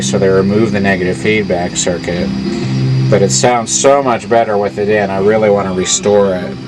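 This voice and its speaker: A man's voice: on the low side, very noisy. Man explaining a radio repair aloud. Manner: plain, thinking aloud with restarts. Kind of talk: casual repair demonstration.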